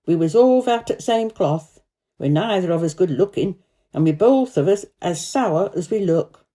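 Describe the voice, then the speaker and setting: A woman's voice: lowish voice, lively intonation, moderate pace, mellow, lightly acted. A woman voicing a surly, blunt old male gardener with a Yorkshire accent in a storybook reading. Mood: gruff, frank. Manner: blunt, gruff dialect speech.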